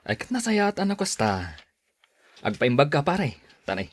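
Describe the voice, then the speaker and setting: A man's voice: on the high side, very animated, unhurried. A man acting in a Filipino radio drama as a friendly office buddy, encouraging and full of reassuring advice. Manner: reassuring, advising.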